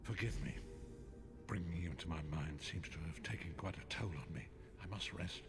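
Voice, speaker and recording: Grave old blind prophet, deep-voiced. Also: male voice, music in the background. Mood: weary. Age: older. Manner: solemn, measured, formal. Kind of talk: fantasy video game dialogue.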